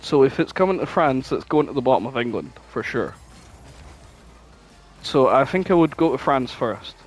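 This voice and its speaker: A man's voice: mid-range voice, normal speed, low-quality recording. A man co-hosting a podcast in casual chat, rambling and swearing freely as he spins out his thoughts. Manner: rambling, sweary, animated storytelling. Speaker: podcast co-host.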